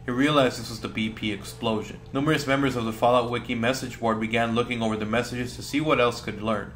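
A man's voice: medium pitch, fairly even intonation, fast talker, a bit bright, slight background noise. A man narrating a scripted creepy story about a video game as a video host.

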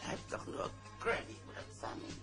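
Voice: normal speed, over music, close to an everyday voice. A performer playing a suspicious, accusing gummi bear in a German children's audio play.

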